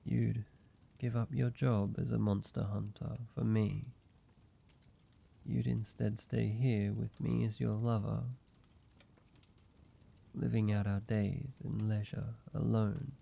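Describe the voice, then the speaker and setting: A man voicing a weary, guarded ancient vampire who is grieving yet tender, speaking haltingly in an intimate roleplay audio drama with a gravelly voice. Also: little pitch movement, dark tone, heavily acted. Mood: pained, conflicted. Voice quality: low, gravelly. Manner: slow, halting, hesitant delivery with pauses.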